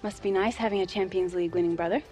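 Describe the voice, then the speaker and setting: An actress plays a teenage girl footballer with a mid-pitched voice. She is casual, teasing and caring, in a naturalistic game cutscene with faint music. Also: fairly even intonation, rapid-fire, a little mellow, highs cut off, natural voice. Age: teens. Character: sixteen-year-old girl footballer, teasing, caring little sister.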